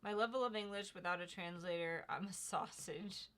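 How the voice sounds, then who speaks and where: A woman's voice: lowish voice, moderate pace, fairly bright tone. A young woman co-hosting a casual livestream, chatting easily and reading viewer comments aloud. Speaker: livestream co-host reading chat.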